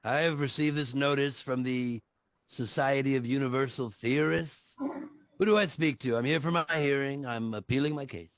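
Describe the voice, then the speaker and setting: Man playing arrogant older politician, gravelly fry, blunt, indignant, dismissive, table-read acting, dry dull recording. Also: mid-range voice, normal speed, no room sound, lightly acted. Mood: curt, demanding. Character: arrogant, petulant older male politician in afterlife waiting room.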